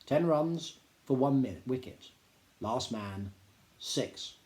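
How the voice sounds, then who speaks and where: A man reading literary prose aloud in a measured, drily humorous storytelling manner. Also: mid-range voice, lively intonation, darkish tone. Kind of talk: expressive literary narration. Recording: roomy, echoing indoor sound.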